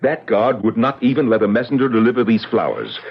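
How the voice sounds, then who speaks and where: Pompous, indignant man for vintage radio drama; animated, formal, muffled recording. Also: mid-range voice, a little fast, slightly rough. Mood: put-out. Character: fussy, self-important man named Maximilian, indignant at delays. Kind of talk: 1970s radio drama acting. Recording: dull, lo-fi old radio recording.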